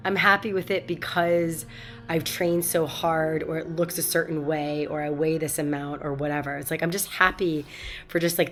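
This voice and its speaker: A woman with a head cold talks straight to camera in a low, slightly stuffy voice. She is frank and animated, full of fillers and restarts. Faint music plays underneath. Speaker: vlogger. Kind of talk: casual talk to camera.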